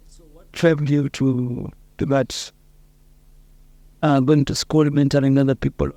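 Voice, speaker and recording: A man's voice: medium pitch, little pitch movement, measured pace, vocal fry, dark tone, dry recording. A man with a Kenyan accent asking casual questions as a podcast interviewer.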